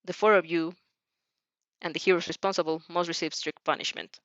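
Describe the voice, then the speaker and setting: A woman voicing a stern, formal male dog-like police chief in an audio story, with measured speech. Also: fairly low pitch, steady tone, fairly bright tone, no room sound, dull audio, close to an everyday voice.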